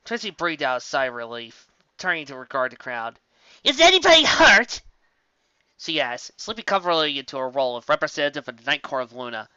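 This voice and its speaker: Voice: medium pitch, bright, forceful tone, smooth, no room sound, lo-fi sound. A narrator reading a fantasy story aloud as scripted narration, occasionally stumbling over the words.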